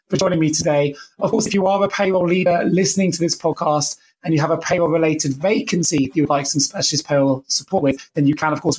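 A man hosting a podcast interview, chatty and friendly, his voice a little croaky from a cold. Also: fairly high pitch, darkish tone. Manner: friendly, chatty, filler-heavy. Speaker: podcast host.

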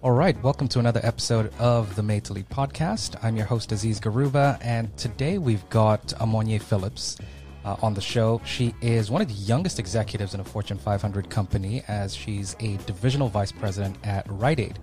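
Upbeat podcast host, low voice. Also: male voice, brisk, warm tone, faint music behind. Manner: upbeat, with many fillers when unscripted. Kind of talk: scripted intro, then casual interview.

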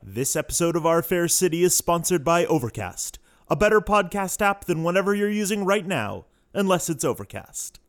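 A man's voice: sits high, sing-song, moderate pace. A man reading a scripted sponsor message as an announcer. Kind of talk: scripted ad read.

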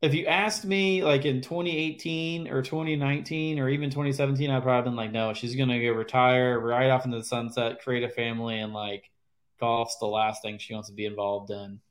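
A man speaking as a podcast guest, his voice sitting at an ordinary middle pitch. He talks in a rambling, halting way, circling back and restarting his sentences. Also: fairly even intonation. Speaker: former caddie, guest. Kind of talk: casual interview chat.

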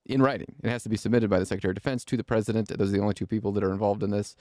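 Male interview host, deep and warm, firm and earnest in tone, close dry mic. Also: steady tone, rapid-fire, no room sound. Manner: earnest, emphatic explaining. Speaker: podcast host. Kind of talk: interview podcast.

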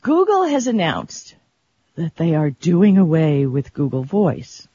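Husky voice, medium pitch, chatty tech show host, conversational explaining, slightly dull lo-fi recording. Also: wildly swooping pitch, slow, dark tone. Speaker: co-host of a technology talk show. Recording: dull, lo-fi audio.

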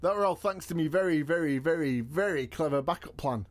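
An actor playing a cocky, food-loving, washed-up wrestling champion in an audio comedy, boastful and evasive with deadpan jokes. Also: male voice, fairly high pitch, brisk, no echo, natural voice. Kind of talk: comedy audio drama dialogue.

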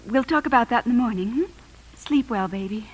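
A woman's voice: fairly high pitch, a little fast, lo-fi sound. A woman with an American accent playing a principled, anguished young mother and wife in a 1950s radio drama, earnest and emotional. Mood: weary, tender. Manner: earnest, emotional, breathy at times.

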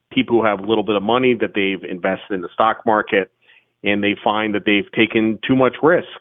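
A man's voice: fairly low pitch, dry recording, narrow, tinny audio. A man, a financial expert guest, explaining plainly in an interview. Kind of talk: interview answers.

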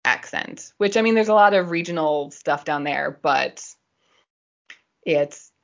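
A woman's voice: low-pitched, animated, unhurried, gravelly, lo-fi sound. A woman podcast host chatting casually as a nerdy, giggly explainer who wanders off on digressions.

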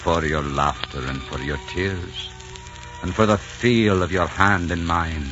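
Old radio romance: deep, theatrical nobleman lover, ardent, solemn, resolute, on a dull period recording. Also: measured pace. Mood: tender farewell. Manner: theatrical, tender, solemn phrasing. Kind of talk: 1940s radio romantic drama acting. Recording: muffled 1940s radio recording with music.

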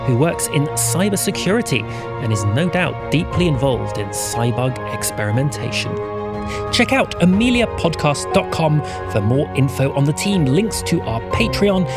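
A man reading scripted podcast credits as the announcer. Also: medium pitch. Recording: loud music under the voice.

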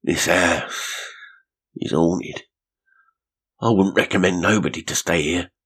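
A man reading aloud, voicing a shabby, nervous little male caretaker with a husky voice and rough grammar. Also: sits low, slow, fairly bright tone, full character voice. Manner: nervous, working-class grammar.

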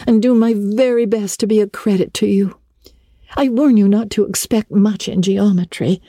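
Woman acting an eager, gushing, high-voiced schoolgirl. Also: dark tone, no room sound, clean studio sound, natural voice. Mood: grateful, earnest. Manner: gushing, dramatic, effusive. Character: eager, talkative, earnest orphan schoolgirl. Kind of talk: dramatic audiobook reading of a classic novel.

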